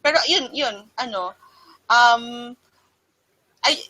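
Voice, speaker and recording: A woman's voice: a little high, slow pace, bright, forceful tone, poor audio. A Filipino woman guest answering interview questions casually, mixing Tagalog and English. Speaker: guest freelancer.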